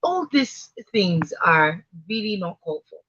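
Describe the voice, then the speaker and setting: Woman, Nigerian accent, husky voice, repetitive emphatic phrasing, conversational radio talk, slightly dull recording. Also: lowish voice, big pitch swings, unhurried, a bit bright. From Africa. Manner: emphatic, repeats phrases for effect. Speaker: radio talk show speaker.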